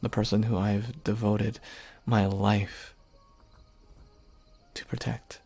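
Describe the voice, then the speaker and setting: A man voicing a devoted young knight who earnestly confesses his love, speaking softly and haltingly. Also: lowish voice, little pitch movement, creaky, slightly rough, soft background music, heavily acted. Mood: warm. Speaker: voice actor. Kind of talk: audio roleplay acting.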